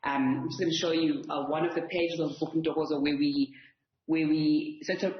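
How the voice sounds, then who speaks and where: A woman's voice: low voice, flat delivery, a little fast, reverberant, lo-fi sound. A woman with a South African accent giving earnest, articulate, conversational answers as an interview guest. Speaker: author and guest.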